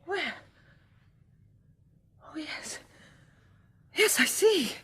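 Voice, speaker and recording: A woman's voice: very high voice, sing-song, extremely slow, pushed and bright, full character voice. An actress playing a frightened, weary young woman surviving an apocalypse in a radio drama, emotional and hesitant. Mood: agitated.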